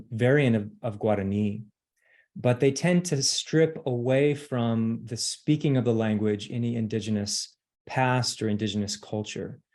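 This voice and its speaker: A man's voice: mid-range voice, a little mellow. Hesitant middle-aged man giving a lecture. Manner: hesitant, many ums and uhs. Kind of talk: academic lecture.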